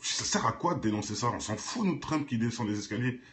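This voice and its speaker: A man's voice: medium pitch, animated, quick pace, a bit bright, slight background noise. A man hosting an informal, off-the-cuff reaction video in an opinionated, argumentative, colloquial way. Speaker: video host, commentator.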